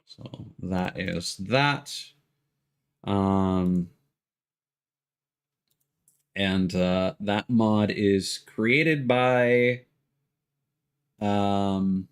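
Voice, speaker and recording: A man chatting casually and haltingly as he commentates on his own video game stream, thinking aloud. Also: on the low side, clean tone. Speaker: live streamer. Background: video game music.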